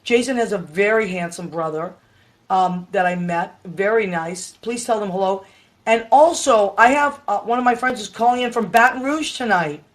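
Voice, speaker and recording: A hoarse woman in her fifties hosting a casual live chat from home, rambling and tired, talking into a webcam. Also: medium pitch, moderate pace. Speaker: livestream host. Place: home room with Christmas tree.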